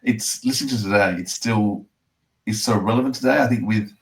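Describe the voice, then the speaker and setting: A man's voice: lowish voice, a little fast, rough recording. A man hosting a podcast, chatting casually with a guest. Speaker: podcast host. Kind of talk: casual podcast interview chat.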